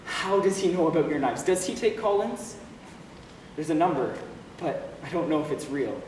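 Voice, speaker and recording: A man's voice: fairly high pitch, expressive, normal speed, a little mellow, natural voice. A man playing a despairing but wry lonely young man in a confessional stage monologue. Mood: wistful, hopeful. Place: stage play recorded in a hall. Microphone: distant camera or room microphone. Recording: echoey hall from far off, noisy.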